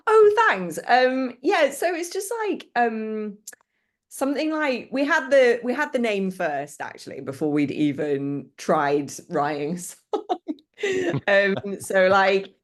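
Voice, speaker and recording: A woman's voice: mid-range voice, big pitch swings, takes it slowly. A woman in a band, chatting casually as a guest with lots of fillers and giggles. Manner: loud, enthusiastic storyteller. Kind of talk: casual interview chat.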